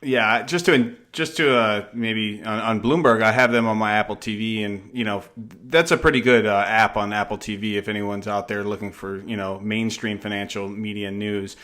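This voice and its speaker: Male interviewer with a fairly low voice, easygoing conversational podcast style, slightly rambling and informal. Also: steady tone, normal speed, few pauses, fairly bright tone. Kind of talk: casual interview chat.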